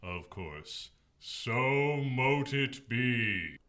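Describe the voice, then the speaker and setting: A man's voice: mid-range voice, lively intonation, sharp tone, heavily acted. A man playing a suave, commanding fallen angel in an audio drama, smug and condescending. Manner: unhurried, smug, condescending, smooth-talking.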